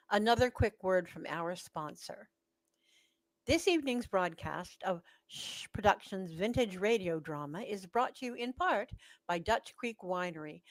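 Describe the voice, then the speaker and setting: A woman's voice: mid-range voice, dry recording, low-quality recording. A woman announcing and narrating a radio drama in a scripted style.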